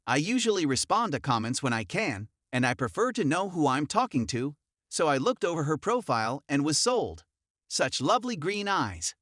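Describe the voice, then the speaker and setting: A man reading an online forum story aloud in the first person, in an even storytelling manner. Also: fairly high pitch, normal speed, no room sound, clean studio sound. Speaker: narrator.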